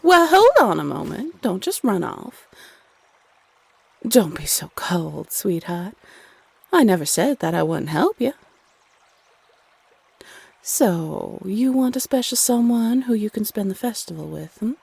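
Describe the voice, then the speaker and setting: Female Cupid, played by a woman, roleplay audio: sultry, playfully condescending, creaky and husky, lingering over phrases. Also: medium pitch, sing-song, darkish tone, dry recording, heavily acted. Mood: reassuring, flirty. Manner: sultry, coy, teasing, drawn-out phrasing. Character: teasing, flirty female Cupid spirit, playfully condescending to humans. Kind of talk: intimate roleplay audio acting.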